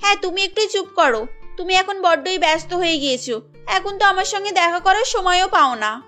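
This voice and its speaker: A woman's voice: very high-pitched, animated, fairly quick, bright, forceful tone, soft background music, pitched above the performer's own voice, exaggerated voice. A woman voicing an affectionate, forthright and pouty college girl in a cartoon, with a coquettish, direct manner. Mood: pouting. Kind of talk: cartoon story dialogue.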